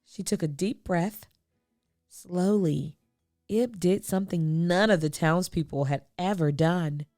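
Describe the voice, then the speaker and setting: A woman reading a children's storybook aloud, expressively, with deliberate phrasing and dramatic pauses. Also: fairly low pitch, darkish tone, dry recording, studio quality, soft background music. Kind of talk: expressive picture-book read-aloud.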